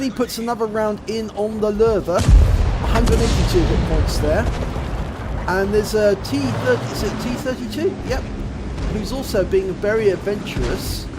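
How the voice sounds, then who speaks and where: A man commentating on a tank game replay, a bit rough and nasal from a cold, explaining each shot and the damage it does. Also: high voice, heavy background noise. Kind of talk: gameplay commentary over a replay. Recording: loud game battle sounds behind voice. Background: tank game gunfire and engines.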